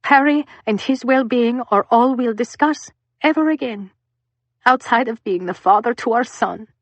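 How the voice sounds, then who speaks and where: Tearful mother cutting ties, steady and final, read by a woman, dry close sound. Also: fairly high pitch, normal speed, no room sound, pitched above the performer's own voice. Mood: tearful resolve. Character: heartbroken mother ending her marriage.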